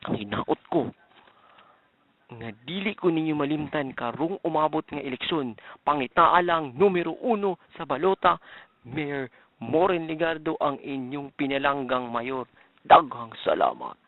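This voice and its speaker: A man's voice: fairly high pitch, animated, measured pace, no echo, narrow, tinny audio. A man playing a self-promoting city mayor giving a formal, declamatory campaign speech in an amateur radio drama. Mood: earnest, vote-seeking.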